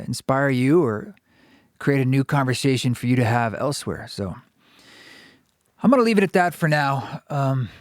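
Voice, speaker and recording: A male podcast host with a mid-range voice who talks in a slow, searching way, with frequent pauses and fillers. The sound is dry and close, with no room echo. He comes across as earnest and reflective. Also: mid-range voice. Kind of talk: reflective podcast monologue and interview.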